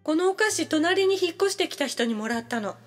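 A woman reading scripted practice sentences for language learners, with clear, instructional phrasing. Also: very high-pitched, expressive, a little fast, long reverb tail, faint music behind. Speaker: language-lesson reader.

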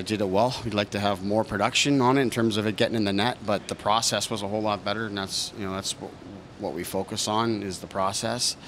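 Low, flat-toned hockey coach at the podium. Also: male voice, slight background noise. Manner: measured, thoughtful, frequent fillers and restarts. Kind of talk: post-game press conference answers. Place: press room in front of a sponsor backdrop. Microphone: several reporters' handheld mics close in front.

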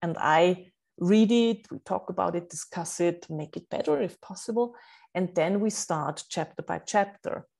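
A woman's voice: on the low side, moderate pace, vocal fry, darkish tone. German woman coaching writers. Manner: earnest, explanatory, with many fillers and restarts. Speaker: book coach, guest. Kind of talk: podcast interview.

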